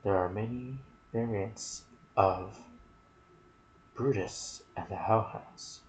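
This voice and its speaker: A man with a fairly low voice narrates video game lore in an ASMR style. His delivery is very slow and soft-spoken, slipping into whispers. Noticeable ambient noise sits behind his voice. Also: poor audio. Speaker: video host and gaming content creator.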